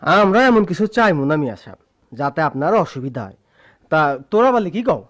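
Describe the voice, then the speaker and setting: A shrewd, insistent uncle from a village radio play. He declaims in a high, very animated voice. His accent is rural Bangladeshi. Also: fairly quick. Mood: smooth, insistent. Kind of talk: Bengali radio play acting.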